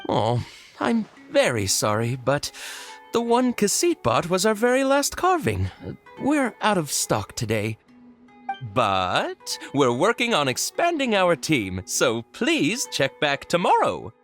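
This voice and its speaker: Female shop assistant, courteous, apologetic, unhurried, extremely animated pitch, game dialogue. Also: soft background music, heavily acted. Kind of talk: video game voice acting.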